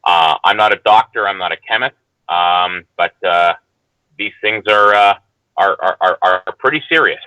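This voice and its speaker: A man giving casual, unscripted commentary as a guest, halting and hesitant, often qualifying what he says. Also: low voice, pushed and bright, smooth, no echo, low-quality recording.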